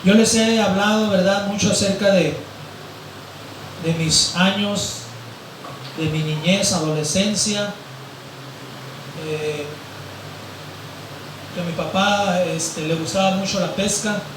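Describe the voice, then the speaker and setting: A hoarse male pastor preaches in a rambling way, his fairly high voice worn thin. He sounds far from the microphone, and his words ring through a very echoey church hall. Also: fairly even intonation, slow, pauses often, noisy background. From Mexico, grew up in Mazatlán. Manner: rambling, fills with "eh" and "¿verdad?". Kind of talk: sermon.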